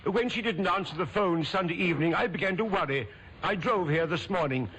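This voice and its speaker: An anxious, halting brother. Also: male voice, sits high, fairly bright tone, slight background noise, low-quality recording. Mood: distressed. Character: worried middle-aged brother finding a crime scene. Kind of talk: 1970s radio drama acting.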